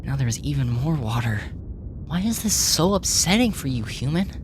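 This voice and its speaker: A woman's voice: sing-song, moderate pace. A woman playing a curious, earnest alien researcher in an ASMR roleplay, speaking formally and clinically, puzzled by human feelings. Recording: noisy with low rumble.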